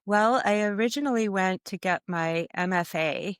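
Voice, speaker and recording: A middle-aged woman from Germany, a guest artist talking about her life in a relaxed, reflective way and laughing often. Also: medium pitch, normal speed, clean tone, dry recording, studio quality. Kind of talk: conversational interview.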